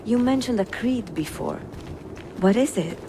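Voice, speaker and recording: An actress playing a cultured, curious, gently teasing traveler in a game cutscene. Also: female voice, mid-range voice, lively intonation, unhurried, noisy background. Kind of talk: dramatic game cutscene dialogue.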